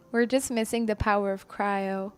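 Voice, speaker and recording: A woman's voice: mid-range voice, steady tone, takes it slowly, smooth, faint music behind. A woman game streamer chatting casually and commenting live on her play. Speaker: game livestreamer.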